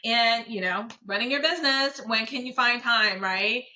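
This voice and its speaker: Bright-voiced businesswoman guest, lo-fi sound. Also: a little high, normal speed. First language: Korean. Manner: warm, reflective, many fillers. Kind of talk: casual interview conversation. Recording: dull lo-fi audio.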